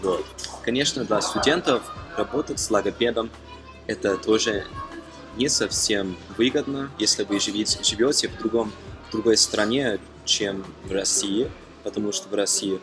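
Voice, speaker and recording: A young man from Germany talking casually to camera as a non-native speaker, halting with frequent fillers and self-corrections. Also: fairly low pitch. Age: young adult. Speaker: language-learning vlogger. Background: background music.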